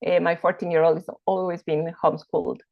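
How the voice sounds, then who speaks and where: A woman in her forties from Ecuador, an educator giving a casual introduction on a video call, thoughtful and pausing to find her words. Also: on the low side, level tone, vocal fry, no echo, highs cut off. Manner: thoughtful, searching for words, many fillers. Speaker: educator and homeschooling parent.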